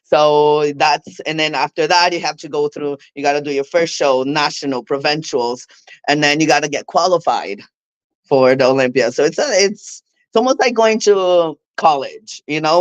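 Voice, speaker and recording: A woman's voice: deep voice, no echo. A woman from Brazil with a Brazilian Portuguese accent, speaking candidly as a guest in a casual interview, laughing often. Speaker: guest, figure bodybuilder.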